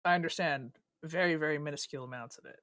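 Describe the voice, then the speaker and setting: A man's voice: on the high side, fast, bright, forceful tone, no room sound, clean studio sound. A man co-hosting a gaming podcast in casual chat. He teases his co-host with crude jokes and laughs a lot.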